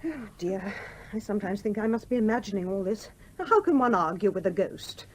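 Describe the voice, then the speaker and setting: Husky, flustered genteel mother. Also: medium pitch, expressive, a little fast, darkish tone. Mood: puzzled, questioning. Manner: polite, flustered, protesting. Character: genteel, scrupulous widowed mother, hesitant but argumentative. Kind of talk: radio play acting.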